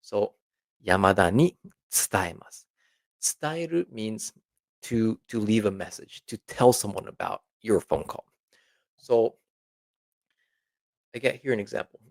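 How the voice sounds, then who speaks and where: A man with a lowish voice and plenty of vocal fry presents a language lesson in an informal, explanatory way. He repeats example phrases and checks in often as he goes. The sound is very dry, with hardly any room in it. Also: animated, unhurried, long pauses, no echo. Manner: conversational, checks in often with right and okay.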